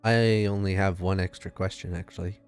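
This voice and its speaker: A man's voice: deep voice, fairly even intonation, brisk, faint music behind. A man playing a tabletop role-playing game, casually describing what his character does.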